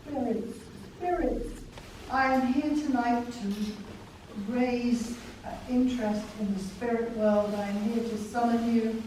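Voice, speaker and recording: Brief: woman medium, slow and theatrical, higher voice, distant, loud background noise. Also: steady tone. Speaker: performer playing a spirit medium. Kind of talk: live performance comedy. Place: live arts venue, indoors. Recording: echoey room from far off, noisy.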